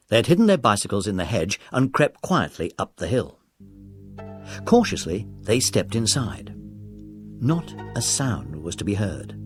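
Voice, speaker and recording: A man narrating a children's adventure story in a measured, dramatic storytelling manner. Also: lowish voice.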